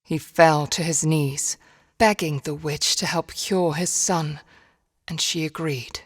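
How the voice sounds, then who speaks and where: A woman narrating a dark fairy tale for a game in a measured, solemn storybook manner. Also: sits low, fairly even intonation, breathy edge, heavily acted. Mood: solemn, grave. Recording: long reverberant hall echo.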